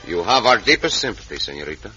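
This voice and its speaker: Polite mexican cowboy hero, very animated, formal talk, old radio western, muffled n hissy. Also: male voice, on the low side, quick pace, faint music behind. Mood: grave, courteous. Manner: formal, courteous, avoids contractions. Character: courteous, confident Mexican cowboy adventurer hero. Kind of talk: 1950s radio western acting.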